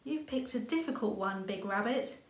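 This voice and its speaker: A woman's voice: a little high, moderate pace, natural voice. Woman reading as a kindly old grandfather rabbit, roomy sound. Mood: kindly, playful. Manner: calm, gently teasing.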